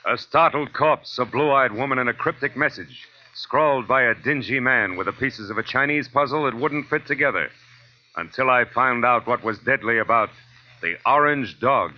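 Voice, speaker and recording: A man's voice: medium pitch, normal speed, natural voice. An American man playing a cynical, brooding hard-boiled detective with terse, wry delivery in a 1940s radio noir drama. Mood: ominous. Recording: muffled vintage 1940s radio recording with music.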